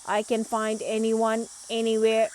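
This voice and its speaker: A woman voicing an eager, proud robot cat in a children's storybook, with booming, declarative delivery. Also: completely flat delivery, measured pace, darkish tone, a little hiss or hum, natural voice, poor audio. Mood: eager, loud. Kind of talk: children's storybook read-aloud.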